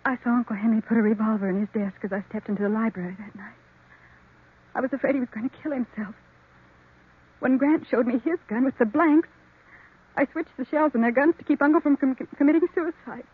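An American actress playing an anxious, confessing niece in a 1940s radio mystery, earnest and faltering. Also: female voice, fairly high pitch, brisk, husky. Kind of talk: 1940s radio drama acting. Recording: thin, muffled old radio recording.

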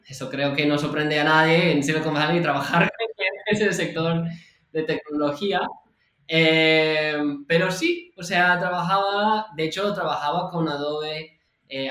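A man's voice: a little high, sharp tone. American man, roomy echoey sound. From United States. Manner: chatty, halting, frequent fillers and restarts. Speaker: podcast guest. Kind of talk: casual interview storytelling. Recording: roomy echo.

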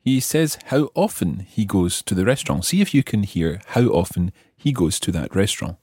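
Animated, husky-voiced male language teacher. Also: fairly low pitch, no room sound, studio quality. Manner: explanatory, repeats phrases slowly and deliberately. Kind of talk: scripted language lesson narration.

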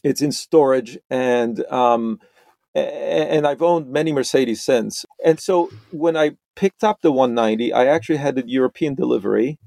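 A middle-aged man from Romania, a podcast guest, talking in a halting way with many restarts and repeated words. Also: medium pitch, creaky, darkish tone, dry recording, clean studio sound. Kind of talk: conversational interview.

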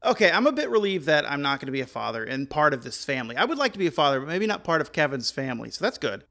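A man co-hosting a podcast, joking along in casual banter with a deadpan manner. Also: a little high, very fast, creaky. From American South. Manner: deadpan, plays along with the bit. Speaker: podcast co-host.

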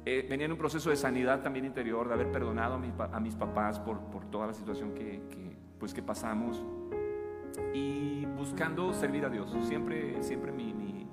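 A man in his forties, a guest from Chiapas, Mexico, telling his life story in a relaxed, joking way with many restarts and laughs. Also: mid-range voice, moderate pace. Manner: chatty, jokes and laughs, restarts often. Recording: roomy reverb with loud music behind.

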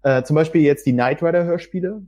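A man's voice: fairly high pitch, a little fast, dark tone. A man talking casually as a podcast guest, explaining things in an articulate way. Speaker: podcast guest, hobby audio drama maker.

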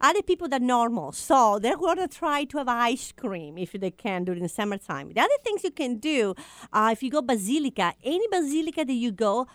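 A woman's voice: on the high side, fairly quick, no room sound. Italian-accented woman chatting animatedly. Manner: broken English, animated. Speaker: co-host reading news. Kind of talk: casual radio chat.

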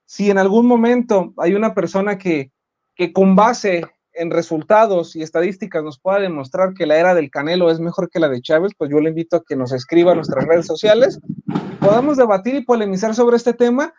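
A man's voice: sits high, fairly quick, a little mellow. Mexican man, sports talk host, opinionated and emphatic, slightly dull lo-fi sound. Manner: emphatic, argumentative.

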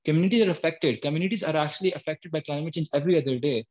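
Young man, higher-pitched voice. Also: racing, darkish tone, muffled recording. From Pakistan. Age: early 20s. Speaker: climate activist, interview guest. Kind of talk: spontaneous interview talk.

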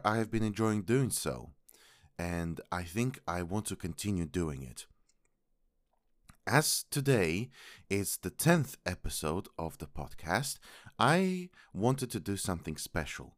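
A man hosting a podcast, speaking formally and politely with a sore throat and many halting pauses. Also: sits low, no echo, studio quality. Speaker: podcast host. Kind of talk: podcast intro monologue.

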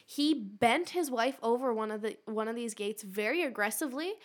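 A woman with a slightly high voice tells stories in loose podcast chat. She leans on fillers and drops wry asides. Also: lively intonation, normal speed. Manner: storytelling, filler-heavy, wry. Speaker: podcast co-host. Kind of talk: casual chat.

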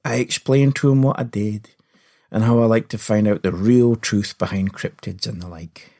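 A man's voice: fairly low pitch, steady tone, normal speed, mellow. A Scottish man hosting a story podcast, narrating in the first person in a folksy, confiding way.

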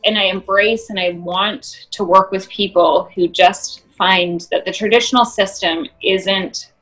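A woman's voice: mid-range voice, fairly even intonation, measured pace, fairly bright tone, soft background music, rough recording. A woman guest, a movement specialist, explaining her approach in an earnest, conversational way. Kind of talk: conversational interview answers.

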